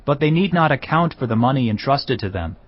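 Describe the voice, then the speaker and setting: A man's voice: medium pitch, expressive, a little fast, lightly acted. A man playing an earnest, commanding king of Judah with formal, emphatic delivery in a scripture drama. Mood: firm, instructing. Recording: slightly dull, muffled audio.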